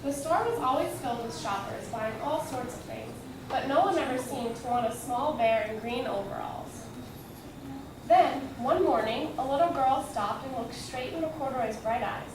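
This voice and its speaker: A woman's voice: high-pitched, moderate pace. Woman reading aloud in a very echoey room. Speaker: storyteller. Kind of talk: children's book read aloud to listeners. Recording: echoey, noisy room, distant mic.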